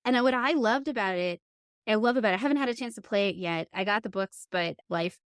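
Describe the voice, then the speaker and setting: A woman interviewer with a medium-pitched voice speaks in a dry, echo-free recording. She is conversational and earnest, working out her thoughts as she goes. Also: fast talker. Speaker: podcast interviewer and host.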